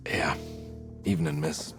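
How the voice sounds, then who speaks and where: An actor playing a gruff but awkwardly polite private detective in a game cutscene, dry and hesitant. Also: male voice, unhurried, music in the background, natural voice. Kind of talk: video game cutscene acting.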